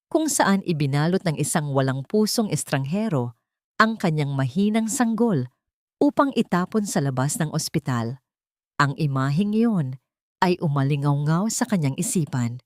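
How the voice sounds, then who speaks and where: A woman's voice: sits low, darkish tone, dry recording, studio quality. A woman narrating a scripted dramatic story in a solemn, melodramatic way.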